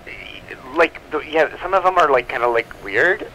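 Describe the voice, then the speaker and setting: A man's voice: fairly high pitch, animated, normal speed, sharp tone, slight background noise, low-quality recording. A man chatting casually as a comic book publisher guest on a show, jokey and peppering his talk with "like". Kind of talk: casual chat.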